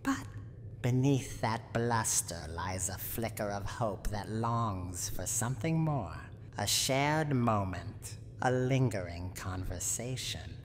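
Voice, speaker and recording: A woman's voice: very deep voice, animated, exaggerated voice. A woman voicing a tough, guarded granny who is secretly lonely and tender, in a wistful, teasing roleplay ASMR monologue. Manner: wistful, teasing bravado, slow dramatic delivery. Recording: noisy, long reverberant tail.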